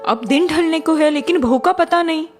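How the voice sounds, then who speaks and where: A woman plays an old family matriarch in a cartoon, high-voiced for her years. She speaks with earnest, caring concern for her household. Music plays behind her. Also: normal speed. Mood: worried. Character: kindly worried elderly grandmother and mother-in-law in a family. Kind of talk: Hindi moral-story cartoon dubbing.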